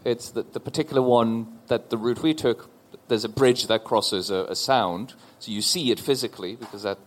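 A man in his forties from Norway, a panel guest telling a personal story in a thoughtful, halting way on stage before an audience. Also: medium pitch. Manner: thoughtful, halting with repeated restarts.

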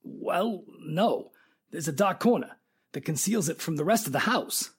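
A man's voice: a little high, expressive, a little slow, slightly rough, full character voice. A man playing a defensive, menacing private detective in an old-time radio crime drama.

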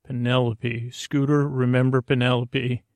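Male bedtime podcast host, mid-pitched with heavy vocal fry. Soothing, silly, meandering delivery that keeps stumbling into tangents. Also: level tone, dry recording, studio quality. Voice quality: creaky. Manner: meandering, halting, repeats and trails off into tangents. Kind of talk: rambling, whimsical bedtime podcast monologue.

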